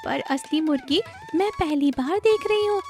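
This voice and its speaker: A woman's voice: high voice, animated, moderate pace, darkish tone, soft background music, pitched above the performer's own voice. A woman voicing an eager, innocent young village girl in a cartoon story, speaking earnestly. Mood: amazed.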